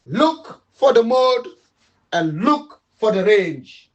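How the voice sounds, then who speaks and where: High, lively man pleading slowly. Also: lo-fi sound. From Sierra Leone. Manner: emphatic, repetitive, switches between English and Dutch. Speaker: political commentator. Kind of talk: impassioned political livestream appeal.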